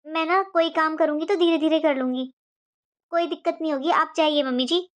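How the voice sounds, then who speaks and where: A woman voicing a gentle, dutiful young daughter-in-law in an animated family drama, speaking in a polite, soothing, deferential manner. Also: sits high, fairly quick, dull audio, lightly acted. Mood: reassuring.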